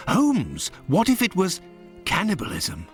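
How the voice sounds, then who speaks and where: Victorian gentleman doctor, highish voice, very varied intonation, British accent, earnest, polite, game drama. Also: huge pitch swings, a little slow, slightly rough, soft background music, full character voice. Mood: pondering. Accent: British English. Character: earnest, concerned male Victorian English doctor, detective's companion. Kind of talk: dramatic video game dialogue.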